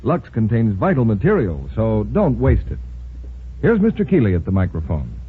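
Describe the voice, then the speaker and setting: A man's voice: fairly low pitch, huge pitch swings, normal speed. A man announcing in polished, scripted 1940s radio advertising patter. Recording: muffled, hissy 1940s radio recording.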